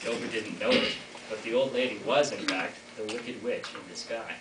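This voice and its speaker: A man's voice: lowish voice, normal speed. Teenage male narrator in an echoey hall. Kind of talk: scripted fairytale narration, school readers' theater. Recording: echoey noisy hall from far off.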